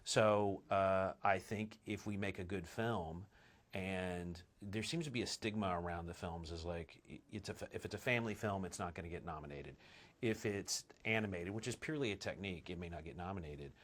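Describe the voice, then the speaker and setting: A man with a low, deep voice speaks in an easygoing interview. He is enthusiastic and often restarts his phrases as he explains his work. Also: moderate pace. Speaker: film director, interview guest. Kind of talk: casual interview talk.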